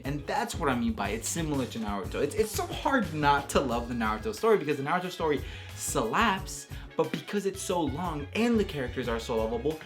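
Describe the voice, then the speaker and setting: A man giving casual, enthusiastic video game commentary as a reviewer, emphatic and repeating phrases for stress. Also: medium pitch. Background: background music.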